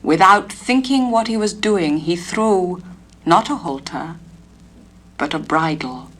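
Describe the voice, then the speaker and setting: English actress, storybook narrator, gentle measured reading. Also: female voice, on the low side. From England. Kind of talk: storybook reading on a spoken-word LP.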